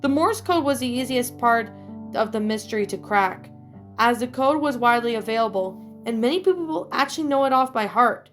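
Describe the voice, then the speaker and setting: A woman's voice: fairly high pitch, lively intonation, moderate pace, faint music behind. A woman narrating a scripted horror story, reading aloud and now and then stumbling over the words.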